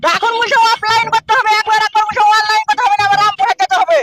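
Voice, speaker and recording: A man's voice: very high-pitched, rapid-fire, pushed and bright. A man playing an aggrieved old village man in a comic skit, complaining with rhetorical questions in a rural West Bengal accent. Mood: indignant. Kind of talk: comic social skit acting. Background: faint music.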